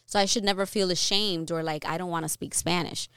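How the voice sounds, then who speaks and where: A woman's voice: fairly low pitch, fairly even intonation, fairly quick, a bit bright, smooth, dry recording, clean studio sound. A young adult woman from New York, co-hosting a podcast and talking through her opinions in an earnest, casual way, with many fillers and restarts. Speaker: podcast co-host.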